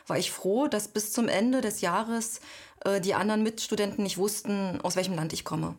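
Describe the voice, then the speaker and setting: A woman in her thirties from East Germany, a guest recalling her childhood and searching for words with frequent pauses. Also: mid-range voice. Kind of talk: reflective personal interview.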